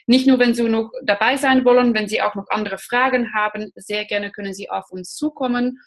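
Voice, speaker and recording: Belgian accent lady, bit higher voice, explains stuff plainly with some ums. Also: fairly even intonation, a little fast. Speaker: mindfulness trainer. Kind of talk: explanatory talk to an online audience.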